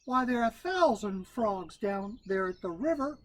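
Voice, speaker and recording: A man's voice: high-pitched, lively intonation, normal speed, a little hiss or hum, pitched above the performer's own voice. A man voicing a sly, confident male rabbit trickster in a folk tale, drawling and boastful. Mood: friendly.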